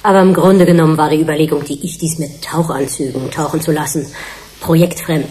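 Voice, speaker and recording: A low female voice , an actress as a research scientist. She is sober and measured, making critical arguments in radio-play style. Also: fairly even intonation, darkish tone, slight background noise, lightly acted, low-quality recording. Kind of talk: German radio play acting.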